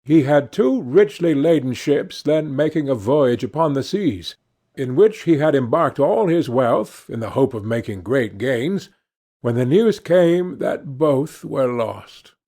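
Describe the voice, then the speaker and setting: A man's voice: mid-range voice, lively intonation, unhurried, mellow. A man narrating fairy tales from a script as an audiobook reader.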